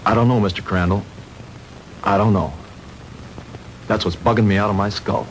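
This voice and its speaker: A man's voice: lowish voice, steady tone, normal speed, natural voice. An actor playing a troubled, apologetic young man in a 1960s B-movie, subdued and hesitant. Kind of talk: 1960s B-movie film acting. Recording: noisy old 1960s film soundtrack.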